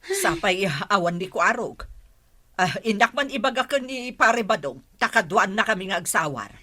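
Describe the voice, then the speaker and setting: Husky, animated woman village captain. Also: mid-range voice, moderate pace. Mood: businesslike, indignant. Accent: Filipino, Ilocano. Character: earnest female acting village captain, taking charge. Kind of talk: Ilocano radio comedy-drama acting.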